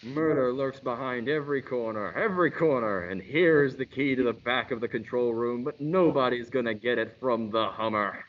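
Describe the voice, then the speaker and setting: A man's voice: medium pitch, normal speed, few pauses, close to an everyday voice. A man playing a pompous, panicky radio star. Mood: defiant, grandiose. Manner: theatrical, pompous, repeats himself. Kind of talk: hammy radio-play acting. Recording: dull lo-fi recording with noise.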